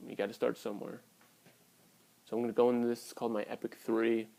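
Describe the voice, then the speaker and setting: A man's voice: medium pitch, little pitch movement, brisk, gravelly, dark tone. A man in his early twenties talking casually and candidly to his viewers in a blunt, sweary vlog style. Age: early 20s. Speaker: vlogger.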